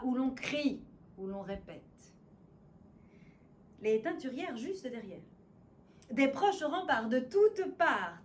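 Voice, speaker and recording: A woman with a fairly high voice delivers a theatrical spoken-word poem. She declaims very slowly, placing dramatic pauses and emphasis between phrases. Faint ambient noise sits behind her. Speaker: poet and stage performer.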